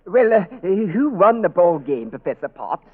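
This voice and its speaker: An actor playing an inquisitive, eager professor of about sixty in a 1940s radio comedy. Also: male voice, high voice, sing-song, measured pace, exaggerated voice. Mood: curious. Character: nosy, girl-curious sixty-year-old male professor. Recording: muffled, band-limited 1940s radio broadcast.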